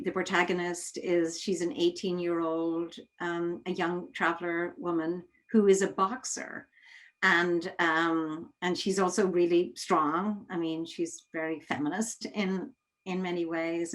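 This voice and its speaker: A woman interviewing in a conversational way, thoughtful and often rephrasing herself as she goes. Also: on the low side. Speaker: interviewer, theatre artistic director.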